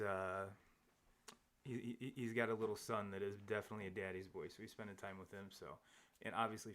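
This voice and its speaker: A man with a lowish voice hosts a live sports podcast, talking casually about baseball. He rambles and restarts his sentences, with lots of fillers between thoughts. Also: fairly bright tone. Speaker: podcast host. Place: home studio, seated across from co-host.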